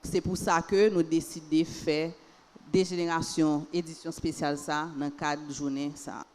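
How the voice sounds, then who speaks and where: A woman's voice: low-pitched, flat delivery, normal speed, darkish tone, slight background noise. A Haitian woman hosting a panel discussion, speaking unhurriedly and repeating phrases for emphasis. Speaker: panel host.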